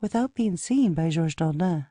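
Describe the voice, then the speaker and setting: A woman's voice: on the low side, expressive, normal speed, dark tone, no room sound. A woman narrator reading out stage directions as scripted narration for an audio play.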